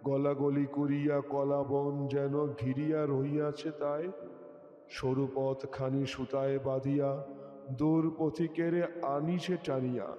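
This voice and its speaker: A man's voice: mid-range voice, level tone, a little slow. Man reciting poetry in long reverb. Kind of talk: expressive Bengali poem recitation. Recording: dull, echoey with long reverb. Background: music.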